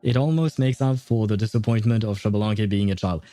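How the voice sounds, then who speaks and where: A man's voice: lowish voice, a little fast, warm tone, no echo, faint music behind. A man giving casual live commentary as a game streamer, often hesitating as he searches for words. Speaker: game livestreamer.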